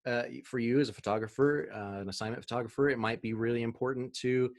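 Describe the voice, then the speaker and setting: A man's voice: mid-range voice, steady tone, quick pace. A man presenting a marketing webinar over a video call, chatty, with fillers and easy laughs.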